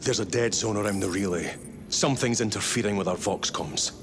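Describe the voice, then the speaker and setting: A man's voice: lowish voice, a little fast, background noise, close to an everyday voice. An actor playing a grim, weary male space marine captain who gives stern, clipped commands in a game cutscene. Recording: game audio with score underneath.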